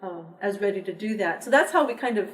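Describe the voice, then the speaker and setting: Woman, thoughtful interview guest, measured, echoey room, distant mic. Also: medium pitch, animated. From Texas border region. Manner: thoughtful, measured, with frequent restarts. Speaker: interview guest, poet and publisher. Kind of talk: reflective conversational interview.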